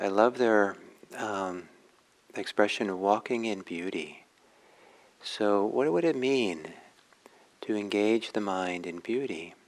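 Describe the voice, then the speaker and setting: Low-voiced man guiding meditation, haltingly. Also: rough recording. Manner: halting, reflective, pausing often. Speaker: meditation teacher. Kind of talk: guided meditation talk.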